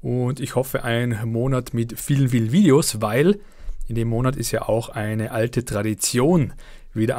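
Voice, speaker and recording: A man of middle pitch talks casually to his viewers from a home setup. His voice sounds slightly worn from a cold. He chats on with fillers and a pace that speeds up and slows down. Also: a little mellow. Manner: chatty, uneven pace with fillers. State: slightly under the weather. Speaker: let's play channel host. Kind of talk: casual monthly update to viewers.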